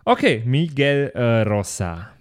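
A man hosts a role-play podcast in a loose, joking style. His voice sits at a medium pitch and he talks like he is among friends. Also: sing-song, takes it slowly. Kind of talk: casual joking chat.

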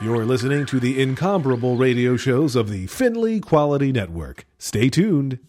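A man plays an old-time radio network announcer. He is formal and emphatic, mid-pitched with lively inflection over faint music. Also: moderate pace, natural voice.

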